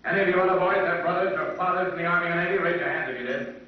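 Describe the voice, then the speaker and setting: A man playing a firm, authoritative superhero who speaks deliberately, in 1940s radio drama style. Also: sits high, far from the mic, natural voice. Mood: stern, probing. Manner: commanding, deliberate. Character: firm, authoritative male superhero questioning youths. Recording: dull old radio in echoey hall.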